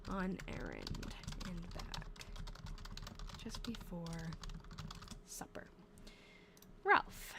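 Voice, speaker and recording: A woman's voice: on the low side, huge pitch swings, drawn-out words, creaky, long pauses, very noisy. A woman in her twenties with a stuffy nose and a fading voice, chatting casually on a live stream and reading short diary lines aloud between her comments. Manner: chatty, breaks off mid-sentence, reads short diary lines then comments. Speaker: livestream host reading old diaries.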